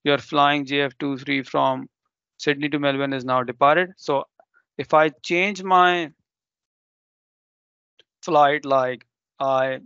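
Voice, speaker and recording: A man's voice: a little high, fairly even intonation, takes it slowly, long pauses, sharp tone, smooth, dry recording, lo-fi sound. A man whose first language is Urdu, presenting a live technical demo and explaining step by step with ums and self-corrections.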